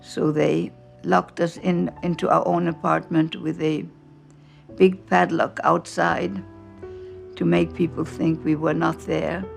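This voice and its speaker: A woman's voice: deep voice, little pitch movement, vocal fry. An older woman from Austria recounting her memories in a reflective documentary interview, with faint music behind her. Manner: slow, reflective storytelling.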